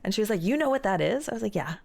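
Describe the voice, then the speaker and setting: A woman's voice: mid-range voice, very animated, rapid-fire, vocal fry, a little mellow. A young woman streaming live, chatting casually and reading out and answering viewer messages. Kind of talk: casual chat reading chat aloud.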